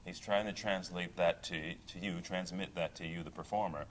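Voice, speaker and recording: A middle-aged male opera singer talking in a relaxed interview, thoughtful, with many fillers and restarts. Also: sits low, normal speed, slight background noise. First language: English. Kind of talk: casual interview talk.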